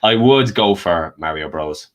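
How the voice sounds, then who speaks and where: A man's voice: low-pitched, lively intonation, measured pace. A man with an Irish accent giving his opinions in a casual film discussion. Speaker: panel guest. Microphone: online group call.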